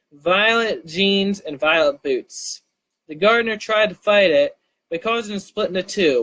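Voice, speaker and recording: A man's voice: high-pitched, fairly bright tone, poor audio. A man reading his own stories live, slipping between narration and casual remarks, sometimes stumbling over words. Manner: stumbles and restarts while reading.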